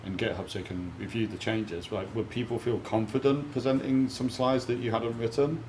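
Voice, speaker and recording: A man facilitating a casual group discussion, informal and halting as he puts questions to others. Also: mid-range voice, steady tone, a little mellow. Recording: faint room noise.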